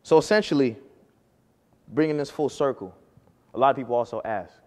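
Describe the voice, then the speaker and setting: A man's voice: medium pitch, fairly quick, in a large hall. A man in his early twenties giving a personal storytelling speech to an audience, earnest and emphatic, rising and falling for effect. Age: early 20s. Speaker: speaker giving a talk to an audience.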